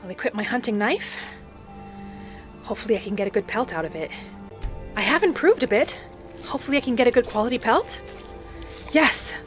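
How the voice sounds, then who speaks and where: A woman voicing a gentle young wood elf alchemist in a fantasy game roleplay, soft-spoken and musing to herself without hurry. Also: a little high, animated, a little fast, long pauses, faint music behind, lightly acted. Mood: bright, eager. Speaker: player of a solo fantasy roleplay video series. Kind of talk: in-character game narration.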